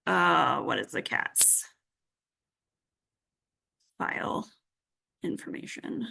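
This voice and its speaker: Slow-talking woman with a creaky voice. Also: sits low, very animated, bright, forceful tone. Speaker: workshop instructor. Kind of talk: live software tutorial, thinking aloud.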